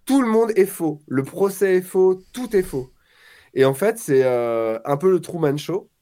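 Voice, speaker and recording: A man's voice: high voice, a little slow. A man in his forties, a guest on a casual podcast chat, telling stories with energy and acting out quotes. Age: 40s. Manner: animated storyteller, acts out quotes.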